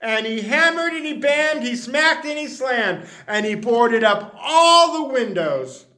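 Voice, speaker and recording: Man, children's story read-aloud, high bright voice, unhurried, expressive. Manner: expressive, drops to a hush for refrains.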